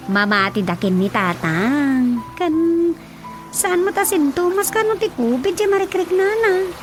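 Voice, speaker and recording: A woman's voice: sits high, animated, a little slow, music in the background. A woman playing a young, inquisitive village daughter in a radio drama, with a Filipino Ilocano accent. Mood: concerned, explaining. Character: curious, giggly young village daughter questioning her mother.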